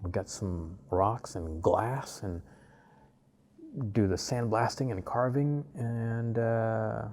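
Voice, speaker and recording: A man in his fifties from Malaysia, an artist talking about his life in an interview, unhurried and full of pauses and fillers. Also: fairly low pitch, darkish tone. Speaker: artist guest. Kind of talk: relaxed interview conversation.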